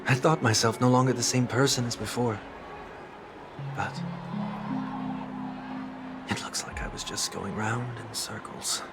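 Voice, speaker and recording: An actor playing a calm, solemn fallen leader in a video game, speaking in a formal, measured, reflective way. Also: male voice, medium pitch, natural voice. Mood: wistful. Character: calm, solemn fallen leader man with ear feathers and halo. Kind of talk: English video game voice acting. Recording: loud background music. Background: game music.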